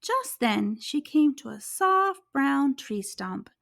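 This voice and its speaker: A woman's voice: sits high, very animated, slow pace, vocal fry, a bit bright. A woman reading a children's story aloud as host, with dramatic, careful narration.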